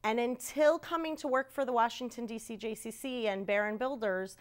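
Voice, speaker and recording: A woman's voice: on the high side, moderate pace, clear, resonant tone. A woman working for a builder, speaking sincerely about giving back. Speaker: construction company staffer. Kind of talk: interview testimonial.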